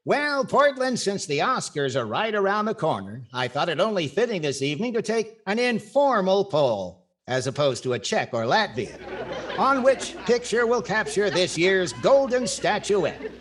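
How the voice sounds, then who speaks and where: A man's voice: high voice, very animated, moderate pace. An older man playing a wry, wisecracking comedy host in a 1940s radio style. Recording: clear background noise and clicks.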